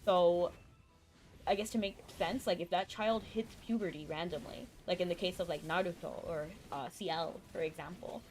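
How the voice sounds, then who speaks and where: A rambling, chatty woman streamer talks casually to her viewers while she plays. Her voice sits a little low for a woman. Faint game music plays behind her. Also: normal speed, low-quality recording. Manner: chatty, rambling, thinking aloud and chuckling. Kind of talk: casual live-stream chat while gaming.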